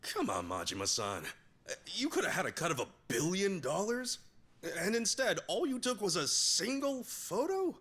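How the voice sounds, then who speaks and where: Doubtful gangster sidekick in a game, raspy and kinda high, lively. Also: male voice, normal speed, full character voice. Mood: suspicious. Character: skeptical, grumbling yakuza underling. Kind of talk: dramatic video game cutscene acting.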